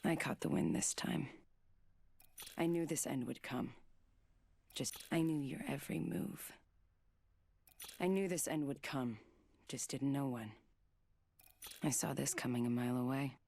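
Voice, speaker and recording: A woman voicing a cool, stealthy, menacing fighter in a video game, in measured, taunting lines. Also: rumbling low, fairly even intonation, creaky, dark tone, exaggerated voice. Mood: calm menacing.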